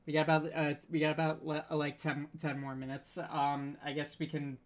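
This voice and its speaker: A man co-hosting a casual livestream chat, speaking in a hesitant, halting way with stammers. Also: on the high side, completely flat delivery. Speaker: co-host of a panel discussion.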